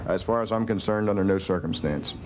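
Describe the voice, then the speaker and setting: An American man, a government official, answering press conference questions in a firm, matter-of-fact way. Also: a little fast. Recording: faint rumbly background noise.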